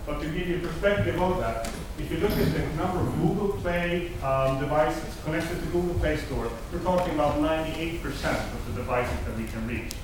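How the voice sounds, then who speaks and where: Swedish guy giving an upbeat tech talk, bit high voice, boomy hall, mic far off. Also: fairly quick, few pauses. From Sweden. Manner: upbeat, enthusiastic, asks the audience questions. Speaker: conference speaker. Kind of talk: technical conference talk. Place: large conference hall. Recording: echoey hall, mic at a distance, noisy.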